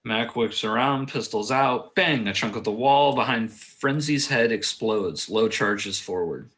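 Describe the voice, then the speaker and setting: A man's voice: mid-range voice, unhurried, fairly bright tone. A man reading screenplay stage directions aloud at a table read, in short, clipped phrases.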